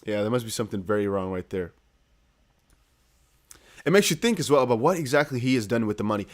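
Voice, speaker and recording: A man's voice: medium pitch, lively intonation, quick pace. A man from the Netherlands with a Dutch accent, chatting to viewers in a casual, emphatic livestream commentary. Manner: chatty, emphatic, repeats points, addresses viewers directly. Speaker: crypto news streamer.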